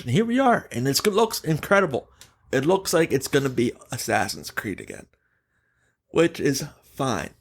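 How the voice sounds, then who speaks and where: A man hosting a podcast, chatting casually and giving opinions in an animated, emphatic way. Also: fairly high pitch. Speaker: podcast host.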